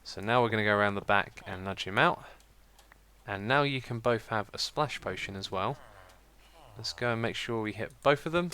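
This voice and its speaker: A British man giving casual, chatty gameplay commentary as a let's play host, with a slightly croaky voice and a self-mocking manner. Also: lowish voice, normal speed, sharp tone. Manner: chatty, self-mocking, laughs at own slips.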